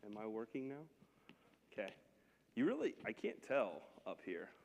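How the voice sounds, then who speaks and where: A man's voice: on the low side, wildly swooping pitch, normal speed, vocal fry, darkish tone, slight background noise. A man leading a church Bible class with prayer and scripture reading, speaking in a conversational, unpolished way. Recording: long hall reverb.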